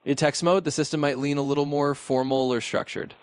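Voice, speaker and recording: A man's voice: on the high side, steady tone, fairly quick, no echo. A man's voice as an AI chatbot assistant, explaining in an easygoing, reassuring way. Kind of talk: casual explanation.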